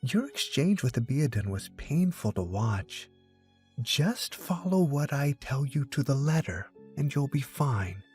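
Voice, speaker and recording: A man voicing a bossy, sharp-tongued teenage girl detective with a businesslike manner in an audiobook. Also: mid-range voice, sing-song, a little slow, faint music behind, voice pushed up. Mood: scolding. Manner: commanding, businesslike. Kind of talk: audiobook character dialogue.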